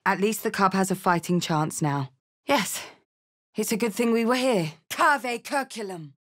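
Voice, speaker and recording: A woman's voice: medium pitch, animated, unhurried, fairly bright tone. A battle-hardened, big-hearted barbarian woman from a fantasy video game. She speaks bluntly and informally, in short punchy lines that suit a brash tiefling fighter. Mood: easygoing, then intense incantation.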